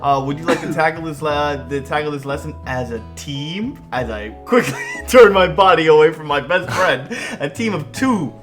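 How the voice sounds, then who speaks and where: Male gaming co-host, slightly high voice, very animated, joking casually as game music plays. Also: moderate pace, hardly any pauses. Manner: giggly, jokey. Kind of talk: casual banter and playful line reading.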